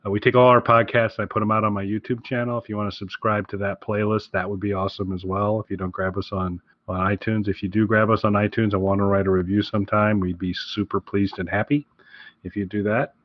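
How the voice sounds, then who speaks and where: Low-voiced man chatting, dull lo-fi sound. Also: level tone, fast, no echo. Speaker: podcast co-host. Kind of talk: casual podcast chat. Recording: dull, lo-fi close dry audio.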